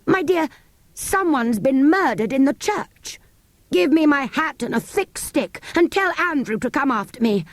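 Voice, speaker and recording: A woman's voice: high voice, lively intonation, measured pace, no room sound, voice pushed up. A woman voicing a fussy, alarmed male country vicar in an audiobook, genteel, exclaiming and commanding.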